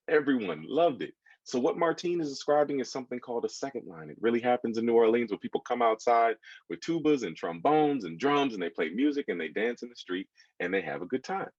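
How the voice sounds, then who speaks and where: An American man in his thirties reading a children's book aloud, expressively, pausing to put questions to his young listeners. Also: mid-range voice, dry recording, muffled recording. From United States. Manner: expressive, engaging listeners with asides. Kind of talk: animated storytelling to children, with questions.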